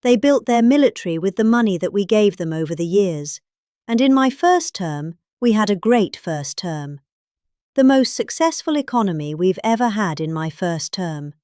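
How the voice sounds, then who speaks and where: A middle-aged British woman reads out a political speech as a performance. Her pitch swings up and down with exaggerated emphasis on key words. The recording is dry and close, with no room sound. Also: warm tone, no echo. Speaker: reader. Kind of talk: dramatic reading of a political speech.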